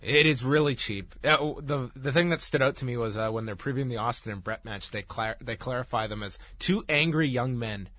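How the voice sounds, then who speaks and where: Bright brisk man reviewing wrestling. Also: mid-range voice. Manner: brisk, rambling, lists facts. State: recovering from losing his voice. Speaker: podcast co-host reviewing wrestling. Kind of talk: casual chat review.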